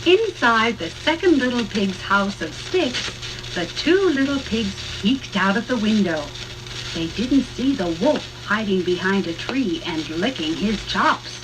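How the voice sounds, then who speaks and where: A woman's voice: medium pitch, animated, unhurried. A woman narrating a children's read-along story in a scripted storyteller style, on a hissy old vinyl record.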